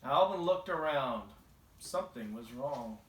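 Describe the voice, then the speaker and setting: A man's voice: mid-range voice, expressive, measured pace, a bit bright. A man reading a children's storybook aloud as the narrator. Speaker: storybook reader. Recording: echoey, roomy home recording.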